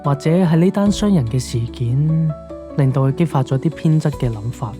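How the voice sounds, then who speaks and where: A man's voice: fairly high pitch, animated, moderate pace, close to an everyday voice. A man playing a grave, reflective police investigator in an audio drama. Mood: sombre. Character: sombre, reflective police investigator seeking the truth. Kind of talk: radio drama dialogue. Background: music.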